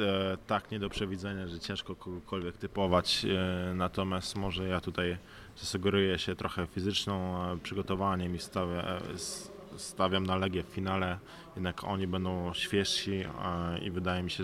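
A man's voice: low voice, level tone, moderate pace, a bit bright. A man answering interview questions thoughtfully as a guest athlete on a sports podcast. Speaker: basketball player, podcast guest. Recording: clearly noisy background ambience.

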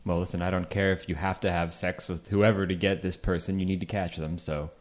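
A man acts out an exasperated police handler in an improvised comedy radio play. His voice sits low, and he stumbles into his sentences while insistently pushing his undercover officer. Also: level tone, brisk, lightly acted.

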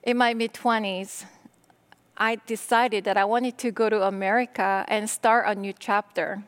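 A middle-aged woman pastor from Korea, whose first language is Korean, preaching a sermon in slow, deliberate phrases with pauses. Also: medium pitch, fairly even intonation.

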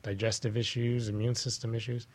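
A man speaking as a chiropractor guest on a talk show, explaining in a measured, halting conversational way. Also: medium pitch, flat delivery.

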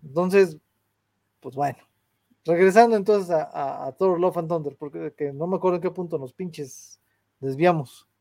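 A man's voice: on the high side, moderate pace, vocal fry, mellow, no echo. A young Mexican man with a Mexican Spanish accent, ranting in casual, slang-heavy podcast chat and swearing freely. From Mexico, San Luis Potosí. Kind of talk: casual chat, film rant.

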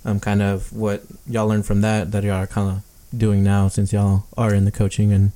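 A man's voice: on the low side, completely flat delivery, normal speed, mellow. A man co-hosting a podcast, asking casual, rambling questions in an informal way. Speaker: podcast co-host. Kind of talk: casual interviewing.

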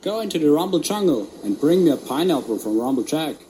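A man voicing a sly male pizza shop owner on an island, offering jobs in a smooth, offhand way. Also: on the high side, very animated, brisk, warm tone, slight background noise, rough recording. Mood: scheming.

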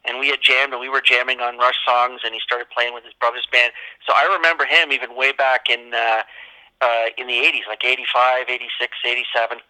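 A Canadian man in his forties speaks as an interview guest, telling stories in a casual, loosely rambling way. His voice is strikingly bright and sharp, with a middling pitch. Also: flat delivery, a little fast, low-quality recording.

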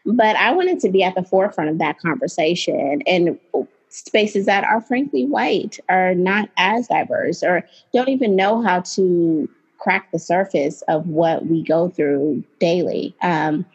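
A woman in her late twenties tells her story as an interview guest. She sounds earnest and reflective, with a fairly low voice. The audio is dull and lo-fi. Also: a little slow, breathy edge. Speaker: guest, writer and editor. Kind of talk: casual interview talk.

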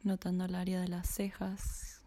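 Woman leading a slow meditation, low mellow voice, half whispering, dry close mic. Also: completely flat delivery, no room sound. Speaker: meditation guide. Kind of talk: guided body scan meditation.

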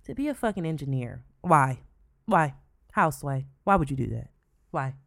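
A woman's voice: low voice, sing-song, moderate pace, gravelly, no room sound, studio quality. A woman in her late twenties co-hosting a podcast, talking casually and bluntly with frequent swearing. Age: 20s. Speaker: podcast co-host. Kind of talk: casual chat.

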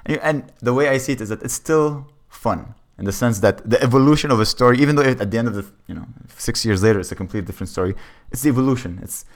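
A man from Lebanon, a comedian guest, telling stories in casual, animated, informal chat. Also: medium pitch, fast, few pauses.

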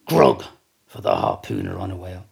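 A man's voice: low voice, wildly swooping pitch, normal speed, creaky, slightly rough, pitched below the performer's own voice, exaggerated voice. A man voicing a sarcastic, indignant second mate of a whaling ship in a theatrical, emphatic reading of a classic novel. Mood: blustering, scolding.

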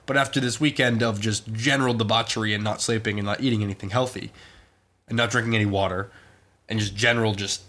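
A man's voice: on the low side, steady tone, fast talker, pushed and bright. A young man co-hosting a podcast, stuffed up with a cold, joking and playfully reading ad copy. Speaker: podcast co-host. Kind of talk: casual podcast chat.

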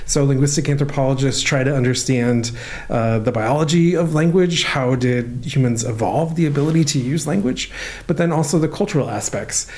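Guy professor explaining stuff, um-ing and uh-ing, average pitch. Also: normal speed, darkish tone. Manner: thoughtful, many "um" and "uh" while explaining. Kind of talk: relaxed explanatory interview answers.

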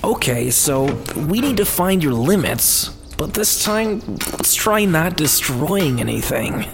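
Casting: man as friendly, coaxing archangel, lively pitch swings yet unhurried pace, casual tone, fantasy audio drama. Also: gravelly, full character voice. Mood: encouraging. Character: encouraging, eager male archangel, a loyal friend. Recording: music under the voice. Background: music.

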